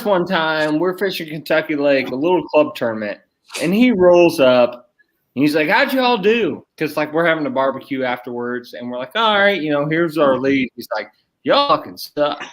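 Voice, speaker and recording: A man's voice: fairly high pitch, big pitch swings, normal speed. A young American man chatting and telling stories casually on a podcast, blunt and freely swearing. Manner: blunt, profane. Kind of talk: casual podcast chat and storytelling.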